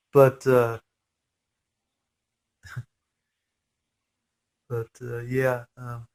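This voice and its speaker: A man's voice: medium pitch, completely flat delivery, very slow, long pauses, a little mellow. Nasal, stuffy-voiced man rambling over a film. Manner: rambling, chatty, geeky enthusiasm. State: nasal from a head cold. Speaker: fan film commentator. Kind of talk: casual movie commentary.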